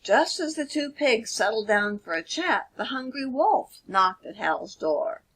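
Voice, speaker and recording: Slow, expressive woman storyteller with husky voice. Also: on the high side. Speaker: author reading own children's book.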